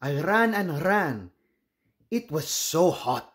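A man's voice: a little high, very animated, takes it slowly, fairly bright tone, full character voice. Man reading as an eager little girl monkey. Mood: excited. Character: young girl monkey, eager, needy, chatty. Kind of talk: storybook character voice.

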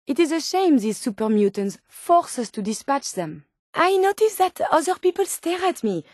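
A woman's voice: fairly high pitch, a little mellow, no echo, clean studio sound. An actress voicing a polite, curious and tender female robot scientist in a video game, with a French accent and precise, formal phrasing. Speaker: video game companion character. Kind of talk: video game companion dialogue.

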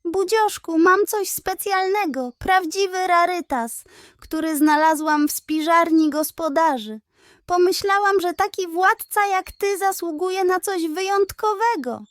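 A woman voicing a young female pig in a children's storybook, earnest, measured and quietly crafty. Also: extremely high pitch, no room sound, clean studio sound, pitched above the performer's own voice. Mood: sweetly sly. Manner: measured, earnest, gently reproachful.

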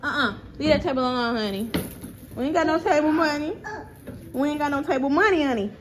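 A woman's voice: high-pitched, brisk, noisy background. A young woman, chatting playfully to viewers and a toddler in a casual family vlog, filmed on a handheld camera indoors. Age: 20s. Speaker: vlogger. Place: rented apartment kitchen, indoors. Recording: music playing over the voice.

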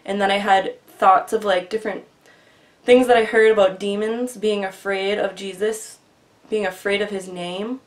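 A woman in her twenties earnestly telling a long personal story in casual, rambling vlog style, her voice often dropping quieter, recorded on a webcam in a small bedroom. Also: medium pitch, steady tone, moderate pace. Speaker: vlogger. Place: small bedroom with bookshelf, indoors. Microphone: webcam at desk distance.

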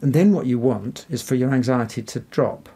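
Guy expert in an interview, mellow husky voice, explains stuff gently. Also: medium pitch, normal speed. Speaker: psychiatrist, interview guest.

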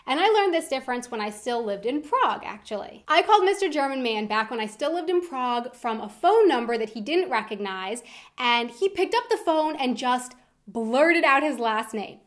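A young American woman presenting a vlog to camera in an expressive, emphatic manner. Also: high voice, moderate pace. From United States. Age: young adult. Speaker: video host. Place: indoor room with decorated wall backdrop.

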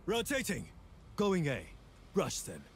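Clipped, high-pitched shooter game agent. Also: male voice, huge pitch swings, slow pace, slightly rough, exaggerated voice. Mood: terse, alert. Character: tactical shooter game agent calling out team commands. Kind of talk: short video game voice lines.

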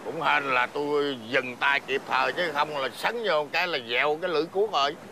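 Creaky, kindly old Southern Vietnamese villager. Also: male voice, fairly high pitch, steady tone, fairly quick, pushed and bright, a little hiss or hum. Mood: surprised. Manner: homely, modest, repeating himself. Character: kind, humble, generous old village husband. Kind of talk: Vietnamese fairy-tale screen acting.

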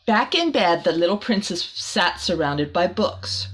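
A woman's voice: lowish voice, big pitch swings, unhurried, a bit bright, slightly rough, rough recording. A middle-aged woman reads a children's picture book aloud expressively, with casual asides to young listeners, in a small room.

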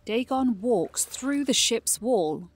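A woman's voice: fairly high pitch, animated, slow pace, slight background noise. A woman reading scripted narration for a fantasy audio drama.

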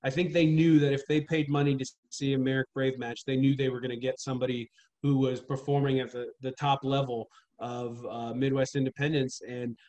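A man's voice: medium pitch, highs cut off. A man in his thirties, a wrestling trainer, talking earnestly and steadily in a casual interview over a video call from a small room at home. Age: 30s. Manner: measured, earnest, steady explaining. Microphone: webcam on video call.

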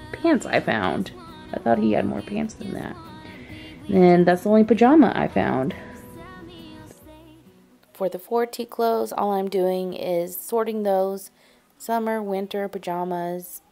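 A woman's voice: mid-range voice, unhurried, vocal fry, warm tone. Chatty woman vlogger over background music. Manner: chatty, upbeat, talks straight to viewers. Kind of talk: decluttering vlog voice-over. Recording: music playing underneath.

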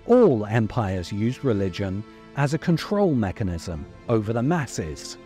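A middle-aged man delivering scripted commentary as a video essayist, with measured, emphatic phrasing. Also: lowish voice, very animated, warm tone. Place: open-sided covered outdoor space. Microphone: desk microphone close in front. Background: music.

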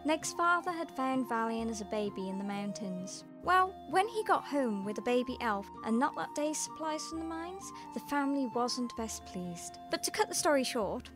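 A woman narrating a scripted fantasy tale in a storybook style. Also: fairly high pitch.

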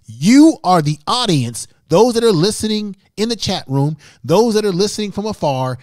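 Man, middle-aged, high voice, emphatic livestream host, instructive, repetitive, close dry mic. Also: no room sound. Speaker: livestream host, YouTube creator. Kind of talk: live-stream tutorial talk to chat room. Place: small home studio room indoors. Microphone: large microphone close in front of mouth.

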